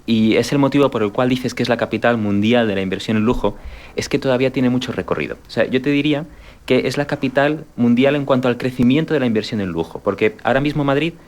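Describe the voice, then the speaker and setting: A man's voice: mid-range voice, darkish tone. A man speaking as a panel guest in a round-table discussion, focused on figures and cutting himself off mid-thought. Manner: rapid, numbers-focused, self-interrupting. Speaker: panel guest, real estate executive.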